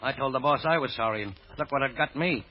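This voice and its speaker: An actor playing a put-upon, streetwise hotel employee with slangy, grumbling delivery in a 1930s radio drama. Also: male voice, medium pitch, quick pace, close to an everyday voice. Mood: bitter. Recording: dull vintage radio recording.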